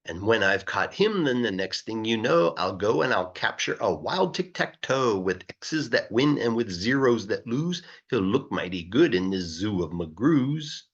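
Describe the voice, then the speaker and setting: A man reads rhyming children's verse aloud in a playful, emphatic way. His mid-pitched voice moves up and down in a very animated way and has a rough, husky grain. The recording is a little dull. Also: measured pace, few pauses. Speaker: English teacher reading aloud. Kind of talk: expressive read-aloud of a rhyming children's book.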